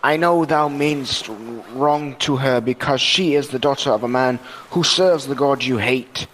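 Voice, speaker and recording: A man's voice: medium pitch, measured pace, fairly bright tone, natural voice. A man playing an honourable, cautious seafarer in an amateur radio drama, with grave, archaic speech. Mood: grave warning. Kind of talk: student radio drama acting. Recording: echoing hall, faint noise.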